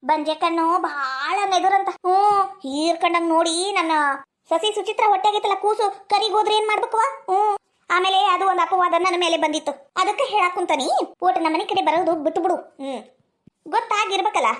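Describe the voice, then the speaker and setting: A woman's voice: extremely high pitch, a little fast. A woman playing a spiteful, scheming older mother-in-law in a family drama skit, taunting and melodramatic, with a North Karnataka accent.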